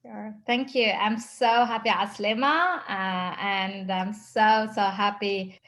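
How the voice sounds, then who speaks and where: A woman's voice: medium pitch, steady tone, slow pace, fairly bright tone. A woman in her thirties from Tunisia with a Tunisian accent, an interview guest telling her personal story informally. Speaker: startup founder, interview guest.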